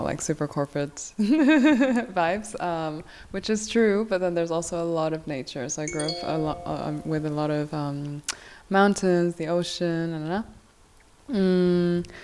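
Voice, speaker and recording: A young woman from South Korea speaks slowly and unhurriedly in a low, calm voice. She is a podcast guest, a yoga teacher, and she pauses often on fillers. Now and then she gives a soft laugh between her thoughts. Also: smooth. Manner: slow, hesitant, many fillers and soft laughs. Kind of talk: casual podcast interview.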